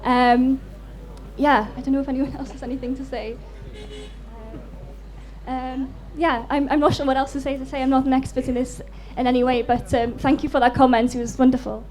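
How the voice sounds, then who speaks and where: A woman's voice: high-pitched, little pitch movement, brisk, darkish tone. A Welsh woman and native Welsh speaker with a Welsh accent, answering off the cuff on a panel in a hesitant, self-deprecating way. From Wales. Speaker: author on a festival panel.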